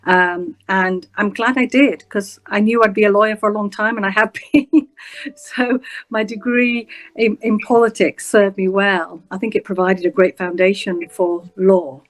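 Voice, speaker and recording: A woman in her fifties with a Yorkshire accent, talking through her career as an interview guest, measured and reflective with frequent pauses. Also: medium pitch, fairly even intonation, rough recording. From England. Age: 50s. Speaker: guest, senior prosecutor. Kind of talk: interview storytelling.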